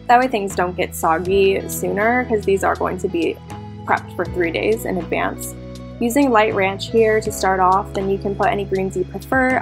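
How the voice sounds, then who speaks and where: Chatty young woman in her kitchen. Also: medium pitch. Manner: chatty, upbeat, instructional. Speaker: flight attendant vlogger. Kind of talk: casual cooking vlog with voiceover. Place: home kitchen, indoors. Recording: guitar music playing underneath. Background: guitar music.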